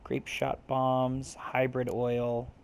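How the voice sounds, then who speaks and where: A man's voice: medium pitch, completely flat delivery, drawn-out words, vocal fry, a little mellow, clean tone. A man hosting a let's play, musing aloud informally and reading game text out. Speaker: gaming video host.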